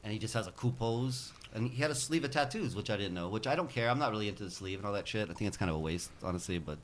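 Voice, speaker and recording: A man's voice: lowish voice, lively intonation, very fast, slight background noise. A man in his fifties chatting casually on a podcast, rambling and swearing freely as he tells stories. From United States. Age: 50s. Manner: loose, rambling, profane storytelling. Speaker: podcast guest. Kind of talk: casual chat.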